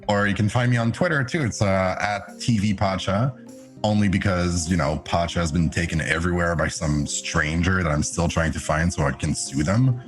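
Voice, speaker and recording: Deep-voiced French-Canadian man chatting casually. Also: moderate pace, faint music behind. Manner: chatty, laughs often, animated jumps in pitch. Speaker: podcast guest, streamer. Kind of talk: casual chat.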